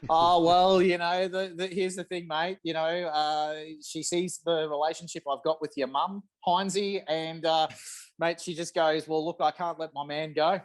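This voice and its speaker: A man with a moderately high voice talks as a guest on a podcast. His style is casual and bantering, and he speaks from home over a video call. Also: flat delivery, normal speed.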